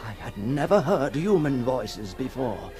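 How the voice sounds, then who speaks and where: A man playing a tormented, awestruck figure emerging from long confinement in a theatrical 1960s radio horror monologue, halting and breathless, repeating his words. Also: medium pitch, very animated, over music. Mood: dazzled wonder. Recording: noisy old 1960s radio recording.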